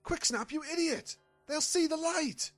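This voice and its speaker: A man's voice: very high-pitched, lively intonation, measured pace, a bit bright, soft background music, full character voice. A man voicing a bossy, scheming pony reporter who loves a scoop, in an amateur audio drama. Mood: alarmed, exasperated. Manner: scheming, scolding.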